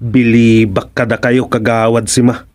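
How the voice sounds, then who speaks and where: A man's voice: medium pitch, little pitch movement, unhurried, darkish tone, faint music behind, natural voice. An actor with a Filipino accent playing a teasing, exclaiming village watchman, a man in a radio comedy-drama. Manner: exclaiming, repetitive.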